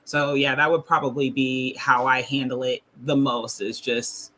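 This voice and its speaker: A woman's voice: rumbling low, flat delivery, measured pace, pushed and bright, slight background noise. A woman from Atlanta with a Southern accent, chatting as a guest, joking and full of ums. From Atlanta, Georgia. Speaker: executive assistant. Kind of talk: casual chat.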